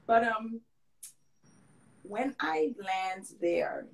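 A woman's voice: fairly high pitch, animated, very slow, creaky, poor audio. Earnest woman talking in an interview. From Grenada. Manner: earnest, restarts often, trails off. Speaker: fashion designer guest. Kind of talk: casual interview conversation.

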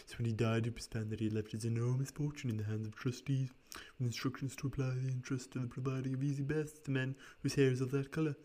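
A man's voice: medium pitch, level tone, fast talker, few pauses, warm tone, close to an everyday voice. A man voicing an eager, persuasive Victorian shop assistant from a storybook, explaining in an emphatic, coaxing way.

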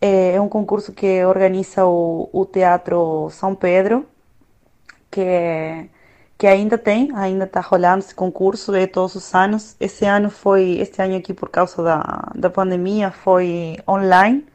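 A woman in her thirties whose first language is Spanish, an opera singer chatting casually as a guest in a reflective, unhurried way, often pausing to find words. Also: mid-range voice, steady tone, a little mellow. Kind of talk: casual conversation.

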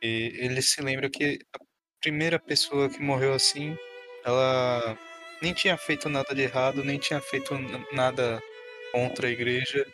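A man's voice: mid-range voice, fairly even intonation, moderate pace, over music. A man playing a tabletop game, giving a dramatic narration about his character.

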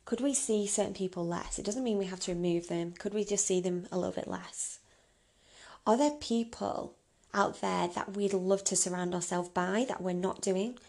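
A woman's voice: mid-range voice, moderate pace, darkish tone. A woman of about thirty giving informal advice on her own as a podcast host, candid and chatty, often posing rhetorical questions. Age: around 30. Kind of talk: informal solo advice talk.

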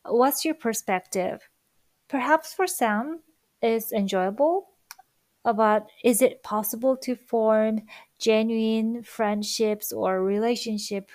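Japanese accented woman talking to her viewers, slow and careful, dry close sound. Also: mid-range voice, no room sound. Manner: slow, careful, thoughtful delivery with soft fillers. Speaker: language-learning vlogger. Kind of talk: reflective monologue to viewers.

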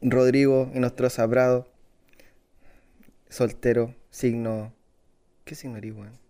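A man's voice: medium pitch, little pitch movement, slow pace, warm tone. A Chilean man in his early twenties co-hosting a casual chat, slangy, playful and teasing. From Renaico, southern Chile. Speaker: co-host. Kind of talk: casual banter between friends.

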